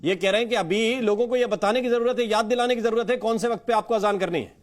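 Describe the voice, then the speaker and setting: A man's voice: high-pitched, fast. A man anchoring a TV panel debate, putting pointed, insistent questions to his guests. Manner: insistent, challenging.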